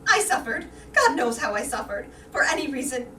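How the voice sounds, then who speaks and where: A woman's voice: high voice, sing-song, brisk, bright, forceful tone, heavily acted. A woman playing a vain, anxious aging wife in staged reader's theater, dramatic and emphatic. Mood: anguished. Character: vain, anxious aging wife awaiting her soldier husband. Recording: roomy, distant mic, faint noise.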